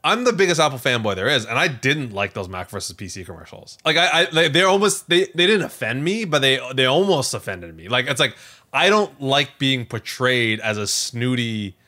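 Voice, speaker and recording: A man co-hosting a casual tech chat in an animated way, often acting out imagined quotes. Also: mid-range voice, normal speed. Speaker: podcast co-host.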